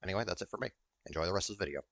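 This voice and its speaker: A man's voice: sits low, very fast, noisy background. A man giving casual, rambling playthrough commentary as a gaming YouTuber, hesitant and sweary.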